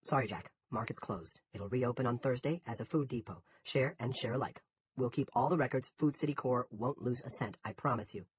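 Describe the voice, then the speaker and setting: A man's voice: medium pitch, a little fast, slightly rough, no room sound, muffled recording. A man voicing an officious, unbending small-town police chief, curt and matter-of-fact, in an audiobook.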